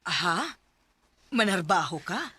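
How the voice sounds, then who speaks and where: A woman's voice: fairly low pitch, sing-song, slow pace, over loud music. A woman with a Cebuano Filipino accent playing a concerned older grandmother in a radio drama.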